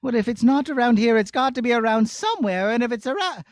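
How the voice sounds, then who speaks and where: A woman puts on an excitable young princess from a children's puppet show, high-pitched and swooping all over. She gasps and exclaims dramatically, always turning to the boys and girls. The sound is close and dry. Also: fast, dry recording, natural voice. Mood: indignant. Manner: gasping, exclaiming, talking to children.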